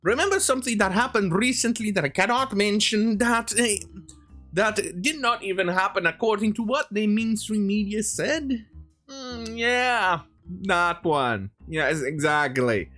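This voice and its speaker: This is an opinionated online commentator in mid-rant, sarcastic and prone to swearing. The pitch swings up and down a great deal while the delivery halts and restarts. Guitar music plays along behind the voice. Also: animated, measured pace, pushed and bright. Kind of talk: opinionated rant commentary, reading quotes aloud.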